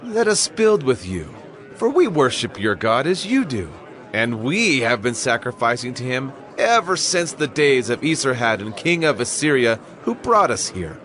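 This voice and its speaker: Foreign adversaries making a smooth, persuasive offer. His pitch moves in a lively way. A faint crowd can be heard behind him in a dramatized audio play. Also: moderate pace. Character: ingratiating foreign adversaries, men.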